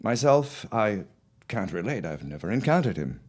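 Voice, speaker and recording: A man acting a confident, dismissive farmer husband of the early 1900s in an audio drama, with formal, deliberate phrasing. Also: on the low side, big pitch swings. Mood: grave storytelling.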